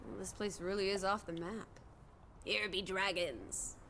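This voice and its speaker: A woman's voice: medium pitch, fairly even intonation, measured pace, fairly bright tone, a little hiss or hum. An actress playing a plucky, teasing young woman companion in a video game, with playful wit and old-fashioned slang. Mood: wry. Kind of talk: naturalistic video game voice acting.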